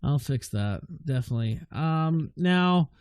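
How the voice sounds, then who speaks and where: A man's voice: a little high, expressive, a little slow, a little mellow, no room sound, clean studio sound. A man hosting a livestream interview in an informal, sweary way, reading out viewer questions and chatting casually. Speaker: livestream show host.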